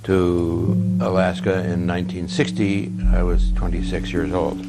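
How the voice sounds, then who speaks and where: An older man answering an interview slowly and reflectively. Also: sits low, flat delivery, creaky, loud music behind the voice.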